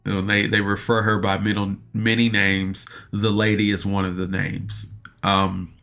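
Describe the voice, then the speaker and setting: A man's voice: lowish voice, little pitch movement, normal speed, a bit bright. A man giving a casual solo podcast review in a rambling, hesitant, enthusiastic fan's way, often backtracking and using slangy wording.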